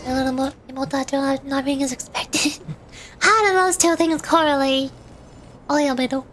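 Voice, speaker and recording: A woman's voice: high voice, fairly even intonation, normal speed, fairly bright tone, slight background noise. A woman live-streaming a game, chatting and reading dialogue aloud with playful, sarcastic asides. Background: game music.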